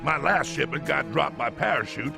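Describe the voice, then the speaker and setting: An actor playing a gruff, boastful older military colonel who likes giving orders. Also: male voice, fairly high pitch, normal speed, over music. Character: blustering older American male military colonel. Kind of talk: video game cutscene acting.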